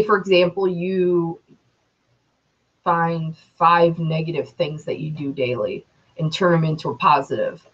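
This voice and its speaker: Young woman, twenties, slow rambling vlog talk, lowish voice, dull lo-fi sound. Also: fairly bright tone. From Metro Detroit, Michigan, USA. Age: 20s. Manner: rambling, many ums and you knows. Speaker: YouTuber.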